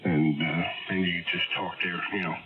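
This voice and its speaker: Lowish male ham operator, casual banter, phone-thin radio signal drowned in noise. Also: fairly even intonation, normal speed. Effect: radio transmission. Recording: narrowband ham radio, very heavy static.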